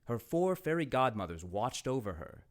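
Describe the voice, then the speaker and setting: A man narrating a children's fairytale as a storybook reader, in a dramatic, expressive way. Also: mid-range voice, moderate pace.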